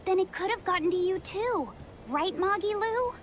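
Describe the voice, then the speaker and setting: An actress voicing a gentle, curious and earnest young innocent in a video game, with a hesitant, simple way of asking. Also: female voice, extremely high pitch, animated, moderate pace, very noisy. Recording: game music behind the voice.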